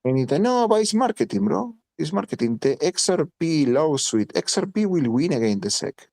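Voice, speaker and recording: A man's voice: on the high side, sing-song, normal speed, creaky, darkish tone, no room sound, clean studio sound. A man from Italy speaking English as a second language, blunt and emphatic, swearing and repeating points in a casual voice chat. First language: Italian. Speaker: voice chat participant.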